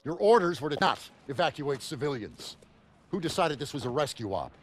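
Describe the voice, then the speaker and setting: A man's voice: on the high side, big pitch swings, fairly quick. An actor playing a stern, demanding American commanding officer who scolds his men in a war game. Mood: reproachful. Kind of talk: war game cinematic acting.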